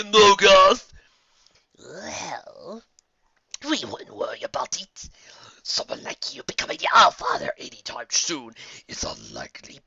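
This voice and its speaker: A narrator voicing an ancient, condescending male monster overlord in a slow, breathy, rasping whisper for an audio story. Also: medium pitch, sharp tone, no room sound, lo-fi sound, pitched above the performer's own voice, heavily acted. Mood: mocking. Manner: slow, drawn-out, grandiose royal we.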